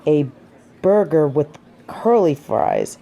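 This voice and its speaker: A woman's voice: deep voice, wildly swooping pitch, takes it slowly, creaky, dark tone, dull audio, full character voice. A woman playing a snobby, centuries-old fallen angel of judgement in a scripted audio roleplay, with a pompous, formal and disdainful way of speaking. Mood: disgusted, indignant.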